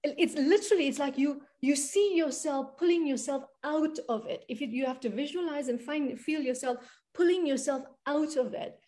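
Earnest high-voiced woman coach, mellow tone. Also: normal speed. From South Africa. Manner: emphatic, earnest, often adds "right?". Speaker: money and spiritual coach, guest. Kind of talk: conversational interview.

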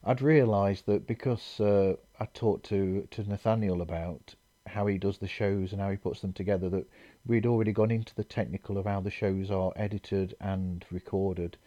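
A man hosting a podcast, chatting in an easygoing, agreeable, measured way. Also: deep voice, steady tone, warm tone, dry recording. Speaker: podcast host. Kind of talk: casual chat. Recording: dull lo-fi audio.

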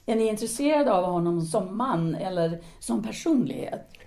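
A woman's voice: medium pitch, expressive, vocal fry, warm tone. A woman playing a composed, businesslike teacher and writer in an audio drama, speaking formally and decisively. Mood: composed, probing.

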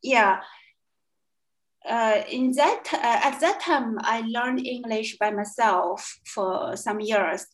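A young woman from China with a Chinese accent, a guest in a casual interview, speaking haltingly with many fillers and restarts. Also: on the high side. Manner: hesitant, many fillers and restarts, laughs often. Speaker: guest, language student.

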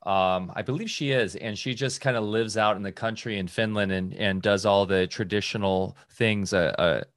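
A man with a fairly low voice hosts a relaxed interview. He talks enthusiastically, drifting from one thought to the next. The sound is close and dry. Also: moderate pace, dry recording. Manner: rambling and enthusiastic, with many restarts. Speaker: podcast host. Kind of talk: casual interview chat.

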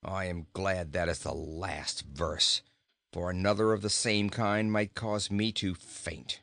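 A man voicing a surly talking goat who grumbles with sarcastic, deadpan scorn in a storybook reading. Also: low voice, slow pace, creaky, dry recording, heavily acted. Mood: scornful. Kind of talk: storybook character voice.

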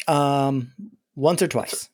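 Man, podcast regular, deadpan, short answers, husky voice. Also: medium pitch, extremely slow. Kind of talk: casual podcast chat.

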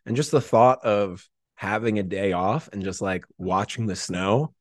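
A man's voice: on the low side, a little slow, dry recording, studio quality. A man chatting casually as a podcast guest, rambling and self-deprecating, with lots of "like" in his talk. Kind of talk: casual chat, anecdotes.

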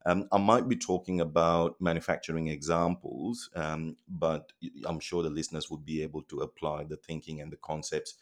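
A man from Sri Lanka in his forties, a guest chatting over the phone with many pauses and ums. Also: a bass voice, fairly even intonation. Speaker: guest, productivity consultant. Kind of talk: casual phone interview.

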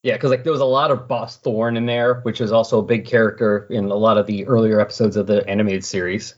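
A middle-aged man with a slightly husky voice hosting a casual comic book discussion in a chatty, explanatory way. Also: fairly low pitch, level tone, fast talker. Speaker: podcast host. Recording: dull lo-fi voice recording.

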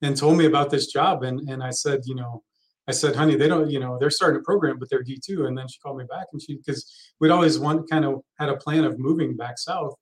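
A middle-aged man from Texas, a college soccer coach, talks through his career in a relaxed, storytelling way. His voice sits at an ordinary middle pitch and often drops quiet at the ends of his phrases. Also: fast, rough recording. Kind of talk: interview guest.

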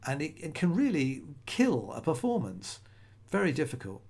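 A man's voice: a little high, wildly swooping pitch, normal speed, vocal fry, a little mellow. A middle-aged man hosting a solo podcast, talking in a conversational, rambling way with frequent restarts. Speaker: podcast host.

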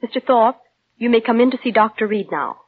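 An actress playing a formal, composed medical attendant in an old radio drama. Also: female voice, a little high, lively intonation, fairly quick, husky. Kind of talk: 1940s-style radio drama acting. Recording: muffled, noisy old radio recording.

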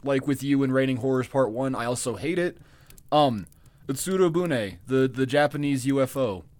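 A man's voice: mid-range voice, normal speed, a little mellow. A man co-hosting a podcast in casual chat, laid-back and self-mocking, with a bit of swearing. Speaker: podcast co-host.

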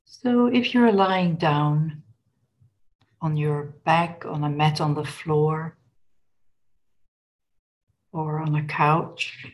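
Woman, meditation guide, low pitch, very slow pace, gentle instructional delivery. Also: rough recording. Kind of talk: guided body scan meditation.

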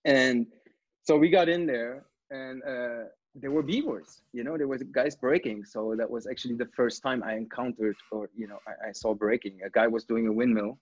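A man in his thirties with a German accent tells stories casually as a podcast guest, at a middling pitch. His speech is informal and peppered with "you know." The sound is dry and slightly dull, with little room to it. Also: moderate pace, no echo. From East Germany. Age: 30s. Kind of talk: casual interview storytelling.

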